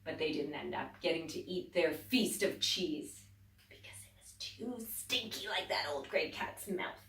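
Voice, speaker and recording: A woman teacher reading a children's story aloud, expressive, dropping to whispers and rising to loud exclaims. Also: sits low, unhurried, creaky. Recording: echoey room, distant mic.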